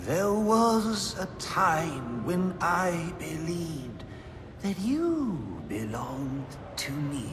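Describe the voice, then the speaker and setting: A woman playing a theatrical, lovesick villain, singing a slow dramatic song. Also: medium pitch, bright, forceful tone, full character voice. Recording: long reverb, music backing.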